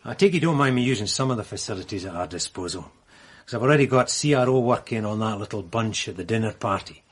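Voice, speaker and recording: A man's voice: fairly low pitch, expressive, fast talker, husky. A man playing a wry, cautious police sergeant in a radio mystery drama, dry and understated.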